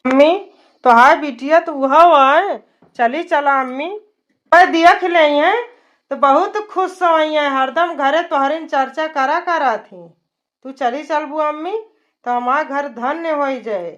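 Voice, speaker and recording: High-pitched eager Awadhi cartoon son-in-law. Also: a little slow, fairly bright tone. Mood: coaxing. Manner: pleading, boastful, respectful. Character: eager, bragging young son-in-law, cartoon village man. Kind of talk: cartoon comedy dialogue. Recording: dull lo-fi cartoon soundtrack.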